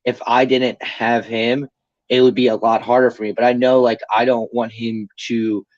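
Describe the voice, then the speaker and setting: A man's voice: fairly low pitch, a little mellow, no echo, lo-fi sound. A young man in recovery, talking candidly and casually as an interview guest, rambling with lots of fillers and swearing freely. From Pennsylvania.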